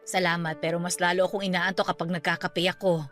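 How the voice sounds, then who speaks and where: A low female voice over soft background music, an actress playing a middle-aged aunt in a radio drama. She is grieving yet steadfast and caring, and talks in a natural, conversational way. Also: flat delivery, quick pace, close to an everyday voice. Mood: weary, tender. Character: grieving aunt who just lost her parents, caring, steadfast. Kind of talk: Tagalog radio drama acting, naturalistic dialogue. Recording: music bed under the voice.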